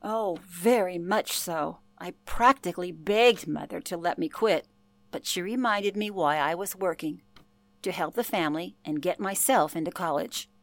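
A woman's voice: lowish voice, moderate pace, no room sound, close to an everyday voice. An actress playing an older former telephone operator, telling her past in an earnest, genteel way for a radio play. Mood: wry reminiscing. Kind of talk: radio play, interview-style reminiscence.

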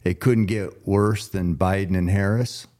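A man with a fairly low voice hosts a relaxed, conversational podcast. He comes across as earnest and agreeable, speaking with open admiration in an unscripted, casual way. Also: measured pace. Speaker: podcast host.

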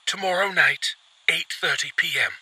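A man's voice: fairly high pitch, normal speed, pushed and bright, breathy edge, no echo, voice pushed up, full character voice, poor audio. A man voicing a nervous male client on the phone who stammers and hesitates over his words.

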